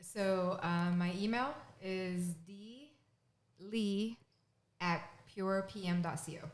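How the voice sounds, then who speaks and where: Middle-aged woman, native Chinese speaker, podcast guest, short relaxed answers, close studio mic. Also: mid-range voice, slow pace. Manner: brief, relaxed, laughing answers. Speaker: guest, property management business owner. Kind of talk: casual interview answers. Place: home studio office. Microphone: studio mic on boom arm, headphones.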